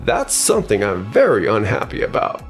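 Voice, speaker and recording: Man acting indignant client with swooping pitch. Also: huge pitch swings, moderate pace, faint music behind. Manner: formal, complaining. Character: indignant male client, stern, formal, accusing homebuyer. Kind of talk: expressive manga dub voice acting.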